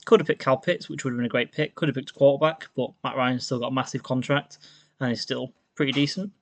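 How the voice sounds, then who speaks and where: A man's voice: mid-range voice, fairly even intonation, fast, dry recording. A man from a team podcast, eagerly breaking down a draft pick in long, rambling runs. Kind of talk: enthusiastic sports analysis.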